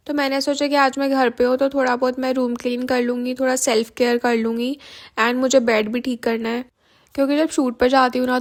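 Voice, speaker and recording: Young woman, high pitch, gravelly, sore throat, chatty rambling vlog talk, home, phone mic. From India. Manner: chatty, rambling, many fillers. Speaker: vlogger. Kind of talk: casual chat to camera. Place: at home in her bedroom.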